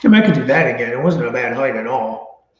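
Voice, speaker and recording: A man hosting a casual chat, thinking out loud in a meandering way. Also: medium pitch, very animated, quick pace. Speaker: show host. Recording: roomy, slightly dull audio.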